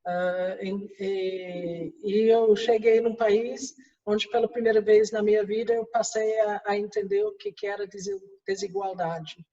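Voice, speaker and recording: A woman's voice: mid-range voice, steady tone, a little slow, mellow, muffled recording. English-accented woman, hesitant, distant roomy sound. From England. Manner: hesitant, many restarts and fillers. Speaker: guest executive. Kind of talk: interview answers.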